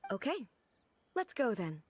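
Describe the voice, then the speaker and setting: Regal, earnest woman ruler for a video game, formal wording, lively intonation. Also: on the high side, unhurried, slight background noise. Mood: puzzled. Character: dignified, earnest, puzzled female ruler, naive about fiction. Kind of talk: video game character acting.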